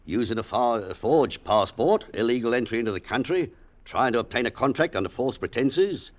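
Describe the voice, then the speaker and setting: An actor playing a polite but firm police inspector, formal and measured, in 1940s radio style. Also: male voice, medium pitch, expressive, natural voice. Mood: officious. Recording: 1940s radio drama broadcast.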